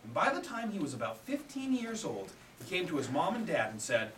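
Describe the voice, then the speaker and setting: A man telling a story live to children as a puppet show host, in an animated way, prompting them to join in. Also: a little high, brisk. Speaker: puppet theater storyteller and director. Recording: echoey room, distant mic, faint noise.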